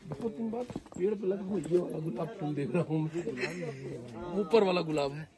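A man's voice: fairly high pitch, normal speed, crowd noise. A man chatting casually outdoors on a hillside, joking and defending himself in a rambling way.

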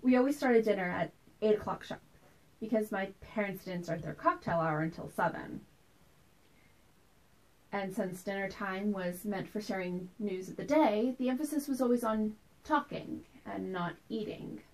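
A woman performing a theatrical monologue as a wistful, anxious woman recalling tense family dinners from her childhood, told deliberately with dramatic pauses. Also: mid-range voice, natural voice, rough recording. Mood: wistful, uneasy.

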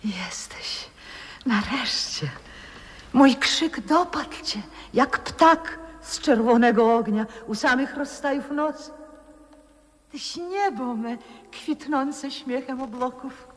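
An actress playing a frail old former opera singer, adoring and wistful, in poetic 1960s radio drama style. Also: female voice, high voice, takes it slowly. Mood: hushed rapture. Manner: poetic, theatrical, hushed and breathy. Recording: old radio recording with long reverb.

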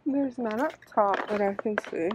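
A woman with a mid-pitched voice talks casually as she shops. She is recorded on a handheld camera inside a store, with clear noise behind her. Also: moderate pace. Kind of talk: casual chat while shopping.

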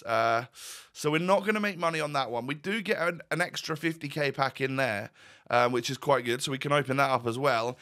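Guy in his 30s, croaky voice, bright and chatty, rambling game commentary at his desk. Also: mid-range voice. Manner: chatty, wry, with fillers and restarts. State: croaky, voice going. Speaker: gaming YouTuber. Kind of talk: casual pack-opening commentary. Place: home room, indoors.

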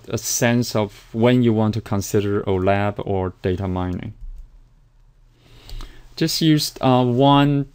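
Hesitant lecturing man, smooth low voice. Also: a little mellow. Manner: hesitant, many fillers and restarts. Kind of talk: recorded slide lecture.

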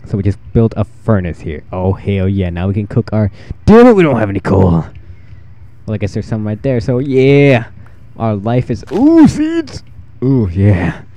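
A fourteen-year-old boy with a freshly deepened voice, rambling and self-deprecating while giving casual commentary over his gameplay. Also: sing-song, a little slow, dark tone. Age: 14, teenage boy with newly deepened voice. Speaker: gaming channel host.

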